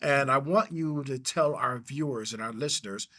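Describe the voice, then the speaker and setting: A man's voice: mid-range voice, moderate pace, pushed and bright. A man hosting a school interview, effusive and full of praise and affirmations. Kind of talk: interview host.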